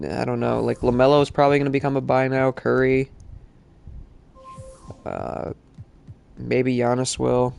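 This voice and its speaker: Congested male streamer with gravelly voice. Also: mid-range voice, a little mellow. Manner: casual, rambling, many fillers. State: congested from allergies. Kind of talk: live-stream gaming chat.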